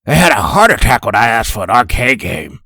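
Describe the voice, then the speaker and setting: Man as a gravelly, grumbling slacker superhero. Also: lowish voice, big pitch swings, fairly quick, pushed and bright, no room sound, clean studio sound, heavily acted. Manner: whiny, informal, sheepish with superiors. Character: grumbling slacker superhero with stumpy rock fingers. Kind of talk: comic dub acting.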